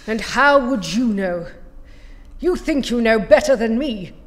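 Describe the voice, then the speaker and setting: Villainous woman scientist, acted: imperious, disdainful, unhurried delivery, long reverb, faint music. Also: a little high, expressive. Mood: scornful. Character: haughty, scornful female renegade scientist. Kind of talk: audio drama acting.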